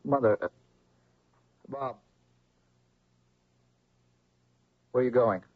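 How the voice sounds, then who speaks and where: An actor playing a shaken, accusing grown son in a radio drama, with a husky voice. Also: male voice, medium pitch, moderate pace, no echo, natural voice. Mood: bewildered. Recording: muffled old radio broadcast.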